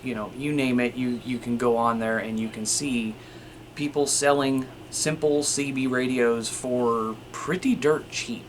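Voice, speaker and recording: A man's voice: medium pitch, a little hiss or hum. A man talking casually and informally as a video host, showing and explaining equipment to his viewers. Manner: informal, conversational, repeatedly addressing viewers as you guys. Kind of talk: casual instructional talk, demonstrating radio gear.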